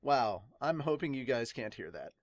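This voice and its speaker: An excitable podcast host speaks casually to followers in a very animated voice that swings widely in pitch. The recording is dry and close, and the delivery is loose, exclamatory and informal. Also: normal speed, no echo. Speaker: host of a reaction and dubbing podcast. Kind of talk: casual vlog chat.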